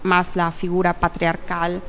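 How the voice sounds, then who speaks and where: Hesitant woman, low gravelly voice. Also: completely flat delivery, a little slow, a little hiss or hum. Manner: hesitant, many fillers and self-corrections. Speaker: interview guest, literature graduate. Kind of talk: casual interview answers.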